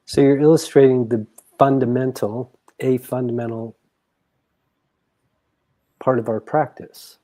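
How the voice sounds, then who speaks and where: A man in a reflective coaching conversation, explaining things in measured, deliberate phrases. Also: mid-range voice, fairly even intonation, mellow.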